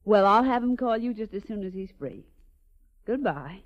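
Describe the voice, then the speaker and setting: A woman's voice: mid-range voice, animated, normal speed, slight background noise, close to an everyday voice. A woman playing a polite, professional office secretary who is easily flustered, in 1940s radio drama style. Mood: brisk, polite. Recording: muffled 1940s radio recording.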